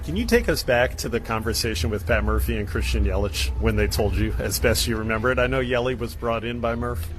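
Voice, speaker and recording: A man's voice: mid-range voice, brisk, few pauses, faint music behind. A man hosting a sports radio broadcast, with a polished announcing and interviewing style.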